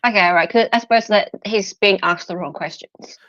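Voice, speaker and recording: A woman's voice: medium pitch, brisk, no echo, lo-fi sound. A woman from Thailand with a Thai accent, chatting playfully as a guest on a livestream over a video call. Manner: playful, animated, teasing. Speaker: guest podcaster. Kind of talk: casual livestream chat.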